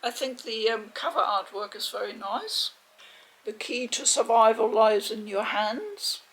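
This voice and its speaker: A woman giving a casual, chatty unboxing commentary in a measured, hesitant way, with a creaky voice. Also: fairly high pitch, expressive, a bit bright, rough recording. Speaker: video game unboxing host. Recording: faint background noise.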